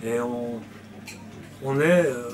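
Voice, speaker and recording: A Swiss man talking in an interview about a book he wrote. He speaks hesitantly, stopping to restart his sentences and filling gaps with fillers. His voice sits at an ordinary pitch, and a steady background noise stays clearly audible. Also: slow pace. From Switzerland. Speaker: novelist being interviewed. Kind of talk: casual interview answers.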